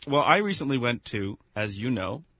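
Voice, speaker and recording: A man's voice: mid-range voice, sing-song, normal speed, muffled recording. A man co-hosting a radio show, chatting casually and enthusiastically, rambling with many restarts.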